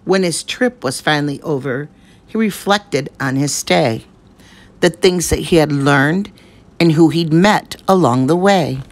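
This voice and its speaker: Woman storyteller, deep for a woman, unhurried pace, expressive rhyming picture-book narration. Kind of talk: children's picture book read aloud.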